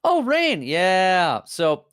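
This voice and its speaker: Smooth-voiced man, animated, swearing freely. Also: high-pitched, extremely slow, no room sound, clean studio sound. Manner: animated, swearing, laughing, frequent fillers. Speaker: VTuber guest. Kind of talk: casual stream chat.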